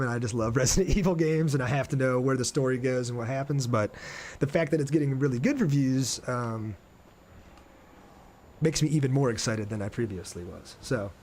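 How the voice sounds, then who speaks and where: A man's voice: mid-range voice, a little mellow, a little hiss or hum. A man chatting casually and informally on a game livestream, reading out viewer comments and joking at his own expense.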